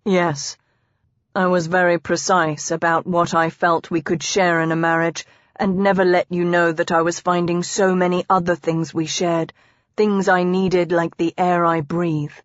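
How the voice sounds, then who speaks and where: A woman's voice: fairly low pitch, level tone, a little slow, no room sound, lightly acted. Woman as a tender teasing husband, historical romance audiobook, formal old-fashioned phrasing, dry sound. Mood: earnest. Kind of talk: audiobook character dialogue. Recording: dry, slightly dull close recording.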